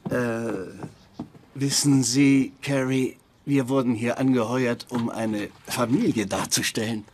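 An actor playing a polite, wholesome father in a sitcom, measured and pleasant. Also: mid-range voice, fairly even intonation, background noise, lightly acted. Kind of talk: dubbed sitcom acting.

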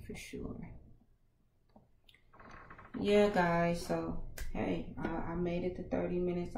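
Raspy laid-back woman podcast host. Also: low-pitched, dark tone, roomy sound, slight background noise. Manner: laid-back, slangy, talks to viewers in chat. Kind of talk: casual livestream chat reading comments. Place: small home studio with foam panels, indoors. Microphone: over-ear headset mic.

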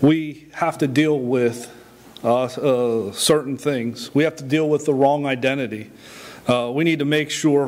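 Higher-pitched man preaching, halting delivery. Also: darkish tone. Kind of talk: sermon with scripture readings. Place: indoor church, at a wooden pulpit.

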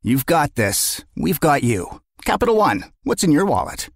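A man's voice: fairly high pitch, sing-song, normal speed, vocal fry, husky. A man narrating a scripted, conversational commercial as its announcer.

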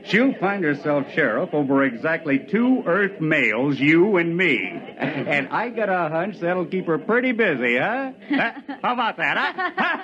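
A man's voice: fairly high pitch, very animated, unhurried. An actor playing a stubborn, plain-spoken frontier strongman with a folksy manner in a 1950s radio drama. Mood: easygoing. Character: stubborn, laconic frontier strongman, grown man. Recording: muffled 1950s radio recording.

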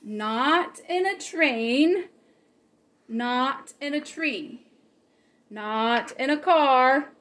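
Lady reading a picture book to little kids, high voice, really slow, playful and expressive. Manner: slow, exaggerated, playful expressiveness for young children. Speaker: preschool teacher reading to children. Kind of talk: children's storybook read-aloud with chat. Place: home kitchen, indoors.

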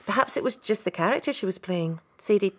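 A woman's voice: medium pitch, lively intonation, quick pace, lightly acted. A woman acting in a radio drama as a defensive, gossipy stage actress being questioned, speaking expressively. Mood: confiding, gossipy.

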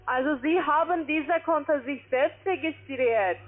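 Female call-centre agent, non-native speaker with foreign accent and broken grammar, high voice, tinny telephone sound. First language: not German. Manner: halting, ungrammatical German. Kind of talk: phone sales call. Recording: narrow tinny phone line.

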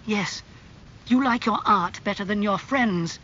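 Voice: mid-range voice, a little slow, pushed and bright, muffled recording, a little hiss or hum. A narrator playing a vain, anguished young man obsessed with beauty in a dramatised audiobook. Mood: bitter, impassioned.

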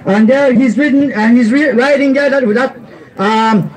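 Man, middle-aged, very high voice, live race call, outdoor track, handheld mic. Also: moderate pace, low-quality recording. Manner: excitable, rising to shouts at the finish. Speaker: race announcer. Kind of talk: live horse race commentary. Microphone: handheld mic held close.

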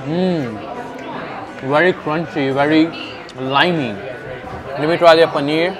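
A young man with a fairly high voice gives a relaxed, casual food review, naming each dish between bites. He is recorded on a clip-on mic at his table. Loud chatter from other diners fills the background. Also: takes it slowly. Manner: relaxed, describes each dish while tasting and chewing. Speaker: food vlogger host. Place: busy indoor restaurant dining room. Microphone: clip-on lapel mic. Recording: restaurant crowd chatter behind.

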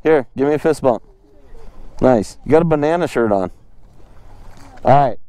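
A man's voice: medium pitch, lively intonation, moderate pace, dark tone, no room sound. A young man vlogging from his motorcycle on a residential street, casual and joking, laughing often. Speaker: motovlogger. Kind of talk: casual vlog chat. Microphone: helmet-mounted action camera.

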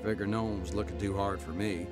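A man's voice: sits low, steady tone, fast, lightly acted. An actor playing a hardened male convict telling his life story in a scripted radio drama. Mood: wry, hard. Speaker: narrator and lead actor. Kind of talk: scripted first-person radio drama narration. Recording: music playing under the voice.

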